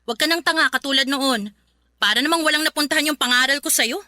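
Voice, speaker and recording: Bright, sassy Filipina best friend. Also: female voice, high-pitched, quick pace, dry recording, clean studio sound. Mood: sassy, scolding. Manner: sassy, scolding, emphatic. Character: bossy, protective best friend giving blunt love advice. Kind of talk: Filipino radio drama acting.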